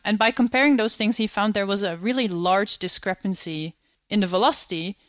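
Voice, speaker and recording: A woman from the Netherlands explains astronomy clearly and patiently. She speaks at a middle pitch, and the close, dry recording carries no room echo. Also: fairly quick. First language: Dutch. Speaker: astronomer guest. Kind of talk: interview, explaining science.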